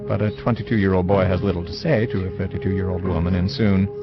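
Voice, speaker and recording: An actor playing a wistful man who recalls his youth in measured, literary first-person dramatic narration. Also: sits low, steady tone. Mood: detached, recollecting. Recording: dull lo-fi audio with music. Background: music.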